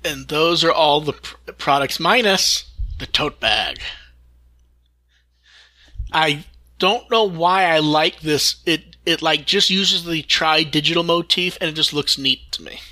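A man's voice: on the high side, a little slow, bright, forceful tone. A man hosting a casual fan news chat, talking in an enthusiastic, rambling way. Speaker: podcast host.

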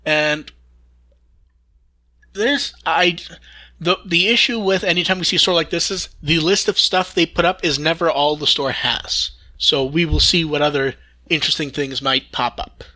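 Bright-voiced American man chatting on a podcast. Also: a little high, moderate pace. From California, United States. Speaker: podcast host. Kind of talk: casual podcast chat.

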